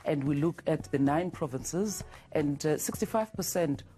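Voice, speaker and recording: A middle-aged woman from South Africa speaking as a company head on a TV morning show, measured and halting with many fillers and restarts. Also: low-pitched, lively intonation, mellow, soft background music. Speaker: CEO guest. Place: TV studio set.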